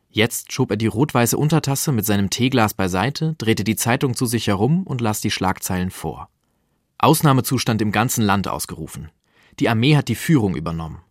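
A man's voice: on the low side, no room sound, clean studio sound. A man reading a novel excerpt aloud in audiobook style.

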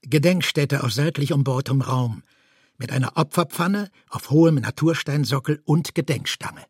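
A man's voice: mid-range voice, normal speed, husky, no room sound, studio quality. A man giving a scripted reading of formal documentary text. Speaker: reader.

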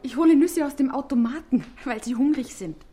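A woman's voice: high-pitched, fairly quick, dark tone. An actress playing a playful young woman in love, in a 1950s radio drama.

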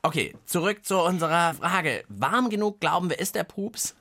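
A man's voice: high-pitched, very animated, moderate pace, bright, forceful tone. A man hosting a children's science podcast, chatting in a playful, mock-earnest way. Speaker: podcast host. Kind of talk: light-hearted children's science podcast chat.

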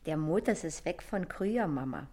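Young gossipy daughter, Berlin accent, slightly low voice, chatty, folksy, comedy drama. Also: female voice, animated, normal speed, a little mellow. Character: gossipy working-class daughter, dawdling and dutiful. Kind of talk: stage comedy radio play acting.

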